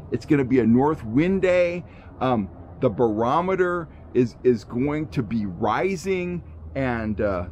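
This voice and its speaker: A man's voice: medium pitch, a little mellow, slight background noise. A middle-aged American man giving instructional outdoor vlog talk as an angler, explaining points emphatically in folksy phrasing. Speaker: fishing show host and angler. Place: outdoors among trees.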